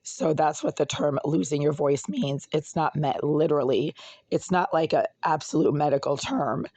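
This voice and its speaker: Hoarse, raspy voice with a cracking break. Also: mid-range voice, expressive, normal speed, a little mellow, dry recording. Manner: aggrieved, ranting, insults critics. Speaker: vlogger. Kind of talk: casual complaint vlog. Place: cold apartment indoors.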